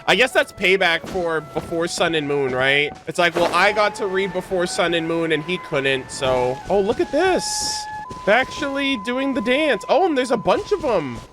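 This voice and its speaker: Casting: male game streamer, high voice, slangy and casual, addressing chat over game music. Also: expressive, normal speed. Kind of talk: casual live gameplay commentary.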